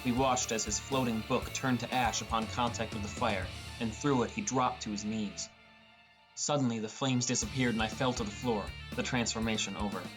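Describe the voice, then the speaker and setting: A man narrates a first-person fantasy audiobook in a mid-pitched voice. He reads from a script, with faint music playing underneath. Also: steady tone, moderate pace, low-quality recording.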